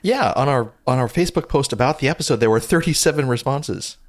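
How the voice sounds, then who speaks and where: A man's voice: medium pitch, lively intonation, fast talker. A man chatting casually as a podcast co-host. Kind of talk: casual chat.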